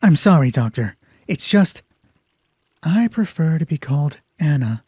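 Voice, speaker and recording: A man's voice: a little high, very animated, unhurried, a little mellow, breathy edge, no room sound, studio quality, heavily acted. A man playing a distracted, defensive career woman in an audio drama, curt and guarded. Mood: firm, tense.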